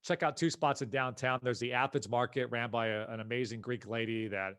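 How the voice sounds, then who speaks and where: An American man speaks as a guest on a podcast, in a conversational and opinionated way. His voice sits at an average male pitch, and he puts emphasis on his points. The sound is dry, with no room echo. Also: fairly even intonation, brisk, a bit bright, clear, resonant tone. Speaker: podcast guest, company founder and CEO. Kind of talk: casual interview conversation.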